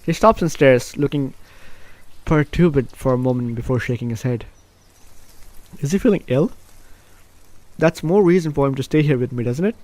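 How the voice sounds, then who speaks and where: A man's voice: mid-range voice, normal speed, long pauses, darkish tone, no room sound. A man hosting a let's play, reading visual novel narration aloud and dropping in wry, teasing reactions.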